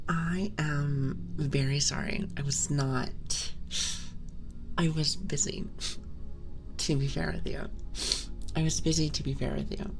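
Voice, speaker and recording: A woman streamer whispering and chatting casually to her viewers through a binaural mic, sniffling with a stuffy nose. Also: sits low. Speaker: ASMR streamer. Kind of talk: whispered ASMR livestream chat. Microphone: binaural ASMR microphone.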